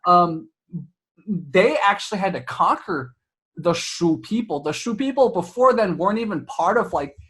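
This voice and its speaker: High husky-voiced male interview host. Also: expressive, normal speed, fairly bright tone. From Southwest China. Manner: chatty, laughs easily, many fillers and restarts. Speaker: podcast host. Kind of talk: casual interview chat.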